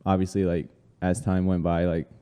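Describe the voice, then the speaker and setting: A man in his thirties from Colombia talks through his story at an easy pace, with plenty of "uh" and "like" along the way. His voice is low, very dark and smooth. It has a long hall reverb around it. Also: normal speed. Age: 30s. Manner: relaxed, with many fillers. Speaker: guest. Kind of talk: casual interview conversation.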